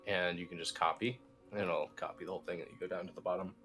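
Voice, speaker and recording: Low-voiced man teaching, music behind. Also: fairly even intonation, fast talker, a bit bright. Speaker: instructor, team lead. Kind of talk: casual software tutorial walkthrough. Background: background music.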